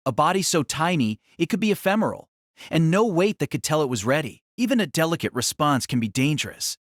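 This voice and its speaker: A man narrating a novel as a dramatic audio reading, solemn and theatrical, with measured phrasing. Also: on the high side, no room sound, studio quality.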